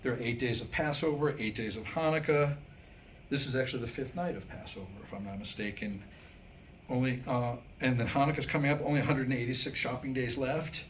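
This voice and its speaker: A man's voice: medium pitch, brisk, fairly bright tone, a little hiss or hum. A man delivers a humorous scripted monologue to a live audience in a wry, mock-scholarly way, posing rhetorical questions. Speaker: comedic speaker reading his own script. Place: live reading before a small audience.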